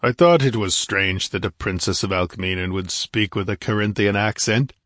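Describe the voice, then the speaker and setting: A man voicing a massive barbarian warrior who speaks with rumbling scorn and blunt demands. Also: moderate pace, fairly bright tone, no echo, clean studio sound, lightly acted. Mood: scornful. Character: massive gruff barbarian warrior, angry and contemptuous.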